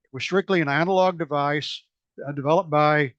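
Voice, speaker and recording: A hoarse man presents technical slides to a museum society, stumbling through fillers and restarts as he explains. His voice sits a little high, and the recording is dry and somewhat dull, with no room echo. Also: fairly even intonation, a bit bright. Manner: halting, many fillers and restarts. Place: meeting room.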